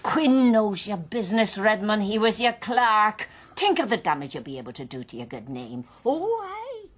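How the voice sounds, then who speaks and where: Husky, scolding old Irish mother. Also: mid-range voice, very animated, normal speed. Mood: urgent warning. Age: elderly. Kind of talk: period radio drama acting.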